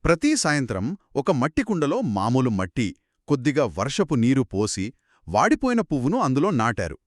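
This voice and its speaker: A man's voice: medium pitch, huge pitch swings, moderate pace, no echo, studio quality. A man co-hosting a conversational story discussion in an analytical, explanatory way. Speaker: podcast co-host.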